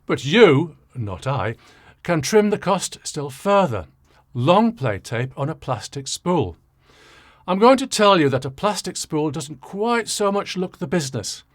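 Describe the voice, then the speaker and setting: A man's voice: on the high side, very animated, measured pace, dry recording. An older man with a British accent presenting a scripted explainer as an audio engineer, in a dry, wry, teasing way.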